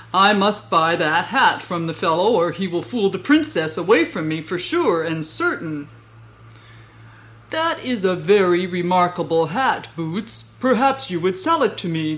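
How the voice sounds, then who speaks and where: A woman voicing a greedy, pompous old king who barks orders in a loud, haughty voice. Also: on the low side, expressive, a little slow, rough recording. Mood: scheming.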